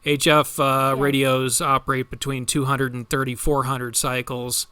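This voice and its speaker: A man with a bright, forward voice talks through radio signals in a technical, thoughtful way. He often pauses to find the right detail, sounding hesitant but informed. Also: medium pitch, little pitch movement, smooth, no room sound. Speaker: host. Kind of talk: technical radio hobby commentary.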